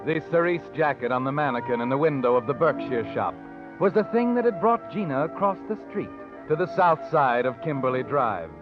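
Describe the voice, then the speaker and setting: A man's voice: on the high side, expressive, brisk, close to an everyday voice. An actor playing a knowing, taunting narrator in 1940s radio suspense style, needling a guilty woman. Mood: suspenseful. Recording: dull old radio recording, music behind.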